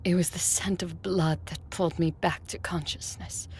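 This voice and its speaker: Husky, low-voiced ancient vampire woman. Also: steady tone, normal speed, darkish tone. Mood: weakened, hungry. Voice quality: husky, breathy. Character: ancient commanding female vampire conqueror. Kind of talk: first-person audio drama narration.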